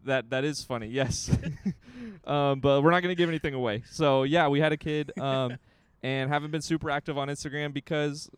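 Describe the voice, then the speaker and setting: A man's voice: a little high, normal speed, clear, resonant tone, no echo. A young man co-hosting a podcast in casual, playful, rambling chat, recorded outdoors in a courtyard. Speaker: podcast co-host.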